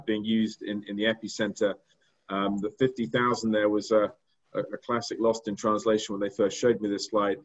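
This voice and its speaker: Middle-aged guy, non-american accent, low flat voice, lots of ums and restarts, dull webcam sound. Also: slightly rough. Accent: non-American English. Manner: halting, many fillers and repeated words. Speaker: diagnostics company executive. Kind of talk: presenting slides on a video call. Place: indoor room. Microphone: video call webcam. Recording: dull lo-fi video call audio.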